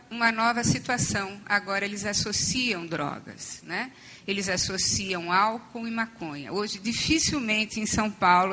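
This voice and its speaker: Woman, hoarse and losing her voice, bright sharp tone, conference lecture, explanatory with fillers. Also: medium pitch, low-quality recording. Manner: explanatory, with frequent fillers and restarts. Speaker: conference panel speaker on addiction treatment. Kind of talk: academic conference talk. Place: conference hall.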